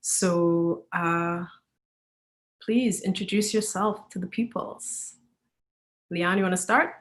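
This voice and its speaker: The woman from Trinidad and Tobago opening an online book club evening as moderator, her voice a little low. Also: takes it slowly. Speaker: host and moderator. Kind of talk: moderating an online panel discussion.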